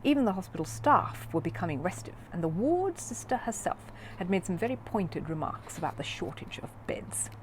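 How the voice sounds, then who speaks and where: A woman reading a children's story aloud in an expressive narrating style. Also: fairly low pitch, normal speed, few pauses, slight background noise, poor audio. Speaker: audiobook reader of a children's book.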